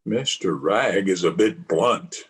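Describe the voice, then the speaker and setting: A man's voice: low-pitched, expressive, slow pace. A man playing a stern, dry, formal middle-aged father in an old-time radio drama. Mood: coolly disapproving. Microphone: video call.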